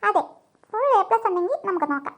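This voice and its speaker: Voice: extremely high pitch, lively intonation, fast. A performer voicing a bossy, studious teenage schoolgirl in a comic cartoon, scolding in a matter-of-fact way. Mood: bossy, firm. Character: bossy, studious tenth-grade girl, playfully scolding. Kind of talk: comic Malayalam cartoon dubbing.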